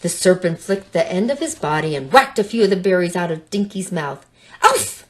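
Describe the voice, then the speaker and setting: Animated woman reading a children's story. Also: lowish voice, brisk. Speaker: storybook reader. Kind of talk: expressive read-aloud narration for children.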